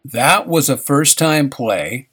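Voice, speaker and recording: A man hosting a live radio show, talking in a loose, halting way, correcting himself and chuckling between thoughts. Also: medium pitch, lively intonation, a bit bright, slight background noise. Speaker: radio host. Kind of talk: rambling live radio chat.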